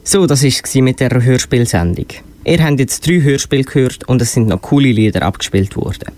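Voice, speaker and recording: A man's voice: mid-range voice, a little fast, darkish tone. A young-sounding male narrator with a Swiss German accent, reading a scripted children's story. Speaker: narrator and presenter of a school radio play. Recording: slightly noisy amateur recording with clicks.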